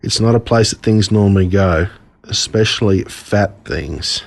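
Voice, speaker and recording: An Australian man reading a scripted children's storybook in a dramatic, deliberate way, relishing the gruesome parts. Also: lowish voice, vocal fry. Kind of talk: scripted storybook narration told as a boy.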